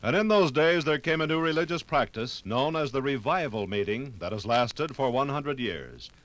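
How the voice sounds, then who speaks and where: A man's voice: mid-range voice, expressive, normal speed, a bit bright. An American man giving grand, formal scripted narration for a 1950s radio documentary. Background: faint music.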